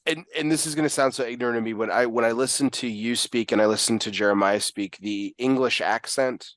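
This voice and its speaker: A man's voice: medium pitch, fairly quick, fairly bright tone, no echo. A man who hosts a casual interview and talks in an eager, rambling way full of fillers. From United States. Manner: enthusiastic, rambling, full of fillers and "you know". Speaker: podcast host.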